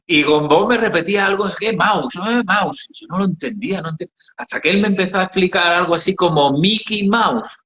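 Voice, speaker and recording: A middle-aged man with an Andalusian Spanish accent, telling travel anecdotes in an unhurried interview style, with frequent hesitations. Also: high-pitched, pushed and bright. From Andalusia, Spain. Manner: unhurried storytelling with many hesitations. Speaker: interviewee, founder of an aid project. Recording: dull, roomy recording.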